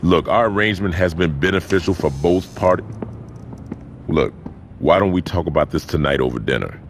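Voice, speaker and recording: A proud, authoritative gang boss from a video game, with a deep voice and animated intonation. He carries controlled menace, over slightly noisy audio. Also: moderate pace. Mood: placating, concerned. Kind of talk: video game cutscene acting.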